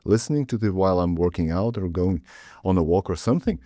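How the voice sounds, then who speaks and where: A man, hosting a casual interview and asking questions in a measured, halting way. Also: sits low, animated, warm tone, no echo, clean studio sound. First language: Russian.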